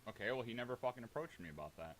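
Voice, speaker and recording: Guy arguing fast and swearing a lot, pushy, bit of hiss behind him. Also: medium pitch, lively intonation, fairly bright tone, poor audio. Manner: confrontational, frequently swearing. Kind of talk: heated group voice call argument.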